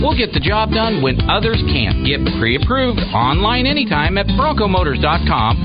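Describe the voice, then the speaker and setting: A man delivering an upbeat, hard-sell radio commercial for a car dealership. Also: high-pitched. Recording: dull lo-fi radio air-check. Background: loud music bed.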